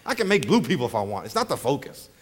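A man's voice: mid-range voice, fast, breathy edge. A man preaching a sermon, telling comic travel stories, acting out voices and laughing along. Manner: animated storyteller, acts out voices, laughs often. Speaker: pastor.

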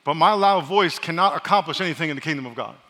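A pastor preaching a sermon, loud and joking, calling on his congregation to answer back. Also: on the high side, quick pace. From New York. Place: large church hall. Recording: live sermon in a reverberant hall.

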